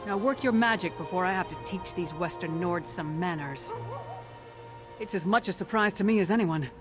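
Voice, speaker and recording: A woman's voice: lowish voice, normal speed, close to an everyday voice. Woman playing a wry, tough warrior companion. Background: game music.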